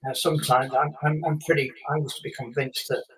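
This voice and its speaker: A man's voice: medium pitch, level tone, a little fast, recorded from a distance, poor audio. Rambling man in his fifties, set back from the mic. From England. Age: 50s. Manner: rambling storyteller with fillers and laughs. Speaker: guest, former racing driver. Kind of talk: casual live chat.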